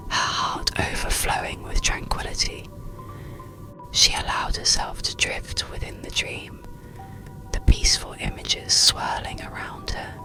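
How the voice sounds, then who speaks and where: Hushed, whispering ASMR bedtime storyteller. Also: low voice, rough recording. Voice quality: breathy, unvoiced whisper. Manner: hushed, soothing, unhurried phrasing. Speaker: audiobook narrator.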